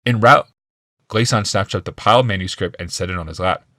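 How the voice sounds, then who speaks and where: A man's voice: low-pitched, a little fast, a bit bright, no room sound, clean studio sound. A man reading a fantasy audiobook as a dramatic scripted narration.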